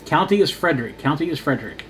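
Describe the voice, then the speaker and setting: Guy doing ham radio, kinda muttering short remarks to himself, super loud static hiss behind him. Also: a little high, sing-song, quick pace. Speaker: amateur radio operator and vlogger. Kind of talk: casual running commentary while operating a radio. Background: noisy radio static.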